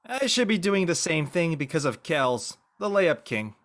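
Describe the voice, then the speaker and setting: A goofy, laid-back teenage boy athlete voiced by an actor in an audio drama. The pitch swings widely as he jokes in loose slang. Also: big pitch swings, moderate pace, fairly bright tone. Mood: teasing. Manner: slangy, joking. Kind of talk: online dramatic table read.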